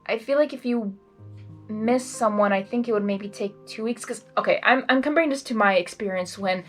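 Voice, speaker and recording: A woman reads a story aloud and adds expressive, rambling casual reactions. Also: mid-range voice, brisk, soft background music. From Hungary. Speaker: fan fiction reader and commentator. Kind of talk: blind reading with casual reaction commentary.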